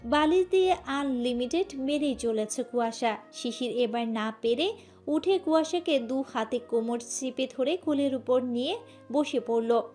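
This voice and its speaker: A woman's voice: high-pitched, measured pace, a little mellow, faint music behind. A woman reading a scripted romantic story as its narrator.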